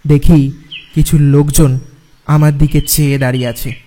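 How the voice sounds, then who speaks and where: A man narrating a first-person horror story dramatically, with suspenseful pauses and repeated words. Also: a little high, little pitch movement, warm tone. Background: background music.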